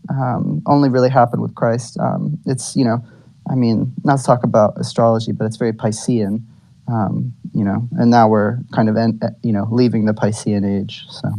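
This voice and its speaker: A man in a casual online group chat, talking in a halting way with many "um"s and "you know"s. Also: mid-range voice, little pitch movement, warm tone, no room sound, poor audio. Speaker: participant. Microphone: online audio chat.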